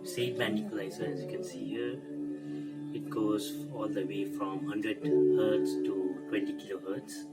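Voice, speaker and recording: A man giving an informal technical walkthrough as presenter of an electronics demo, explaining haltingly and repeating himself. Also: on the low side, flat delivery, low-quality recording. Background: music playing from the demo.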